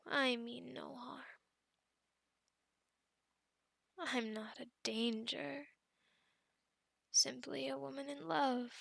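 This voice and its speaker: Possessive lovestruck she-werewolf, played by a woman, ASMR roleplay. High, very creaky whisper, deliberate and coaxing with quiet menace. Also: dry recording, full character voice. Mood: soothing, possessive. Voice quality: breathy whisper with creaky edges.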